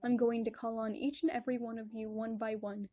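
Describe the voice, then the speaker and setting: A woman's voice: a little high, flat delivery, a little fast, warm tone, dry recording, lightly acted. A woman voicing a kind, motherly pony princess who speaks in a composed, formal way, in a lo-fi recording. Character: gentle, regal adult pony princess, motherly mentor.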